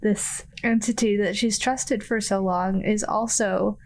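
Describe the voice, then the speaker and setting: Woman player in an rpg podcast, lots of vocal fry, casually describing her character. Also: medium pitch, fairly even intonation, unhurried.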